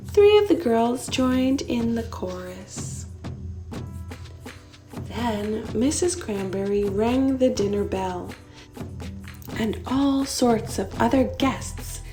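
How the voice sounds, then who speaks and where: A woman narrates a children's picture book in an expressive storytelling style. Her voice is fairly high and mellow, and she takes her time over each phrase. Music plays softly along with her. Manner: unhurried, expressive read-aloud delivery.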